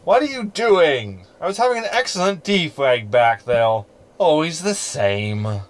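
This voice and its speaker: Flippant hologram played by a man, lively pitch. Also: a little slow. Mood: indignant, playful. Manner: wry, chatty, teasing. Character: sarcastic, flippant male ship computer hologram. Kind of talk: sci-fi comedy audio drama acting.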